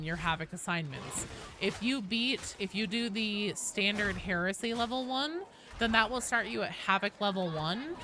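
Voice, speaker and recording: Bright-voiced woman streaming a game. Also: medium pitch, a little hiss or hum. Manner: sweary, informal. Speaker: game livestream host. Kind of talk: casual livestream chat with viewers. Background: faint video game sounds.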